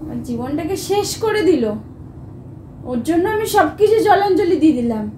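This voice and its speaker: A woman's voice: very high-pitched, lively intonation, moderate pace, a little mellow. A young woman in a heated domestic argument, scolding in an agitated, repetitive way. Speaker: wife in a family prank video. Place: indoor room at home. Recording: echoey room, faint background noise.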